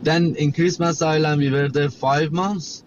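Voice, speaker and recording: A middle-aged man from Iran, a native Persian speaker with a Persian accent, telling his story as an interview guest in halting, repetitive English. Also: a little high, steady tone, muffled recording, noisy background. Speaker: guest, chef and cafe owner.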